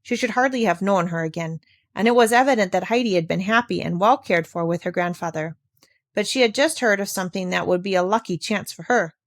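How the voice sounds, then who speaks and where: A woman's voice: medium pitch, brisk, no echo, studio quality. A woman narrating a classic children's story, reading aloud from a script. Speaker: audiobook narrator.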